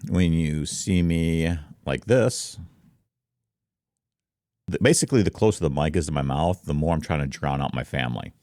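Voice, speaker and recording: A man with a deep voice talking casually and informally as a streamer, explaining things as he demonstrates them into a close microphone. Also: a little mellow, no room sound. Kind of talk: casual explainer talk to camera. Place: treated room at home. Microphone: close foam-covered microphone, slightly offset from mouth.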